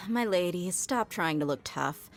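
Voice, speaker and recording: A woman's voice: lowish voice, animated, takes it slowly, faint music behind. An actress playing a tough, principled woman mercenary bodyguard in a game, blunt and casual in manner. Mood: easygoing. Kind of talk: game voice acting.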